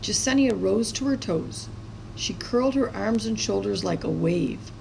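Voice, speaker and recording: A woman with a creaky voice reading a children's story aloud expressively, as a storyteller. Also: mid-range voice, animated, a little slow, a little mellow, slight background noise, rough recording. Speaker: storybook reader.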